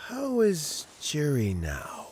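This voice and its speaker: A man voicing a measured, formal male advisor in a video game, speaking in a thoughtful, deliberate way. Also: on the high side, huge pitch swings, a little mellow, slight background noise, heavily acted.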